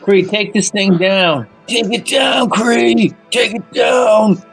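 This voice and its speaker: Man, tabletop player, fairly high pitch, casual game chat, faint music. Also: slow pace, vocal fry, fairly bright tone, husky, shouting. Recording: music playing under the voice.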